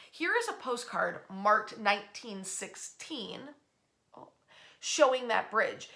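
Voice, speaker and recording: A woman presenting local history as an animated storyteller, in a conversational, emphatic and folksy way. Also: mid-range voice, creaky.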